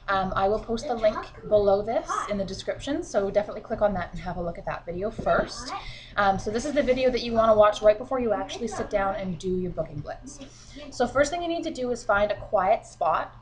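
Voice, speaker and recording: A woman's voice: medium pitch, animated, brisk, a crowd in the background. A woman with a cold, sniffling a little, giving chatty, encouraging advice to her sales team from home. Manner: chatty and encouraging, frequent fillers. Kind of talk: casual instructional vlog. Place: at home, children beside her.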